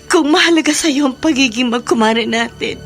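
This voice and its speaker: A woman's voice: high voice, normal speed, fairly bright tone, faint music behind. An actress playing an anguished, secretive mother in a radio melodrama, pleading and emotional. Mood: tearful pleading. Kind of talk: Tagalog radio drama melodrama.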